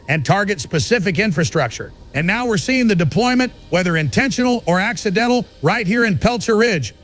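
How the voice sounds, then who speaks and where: An actor playing a paranoid, fervent conspiracy theorist delivering an urgent bunker broadcast in an audio drama, with a gravelly voice. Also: male voice, sits high, fairly bright tone, slight background noise. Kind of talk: audio drama monologue as pirate radio broadcast.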